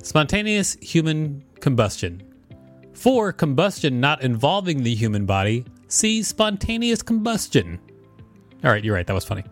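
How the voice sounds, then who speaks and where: A man co-hosting a podcast, mixing casual chat with reading an article aloud. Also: medium pitch. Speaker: podcast co-host.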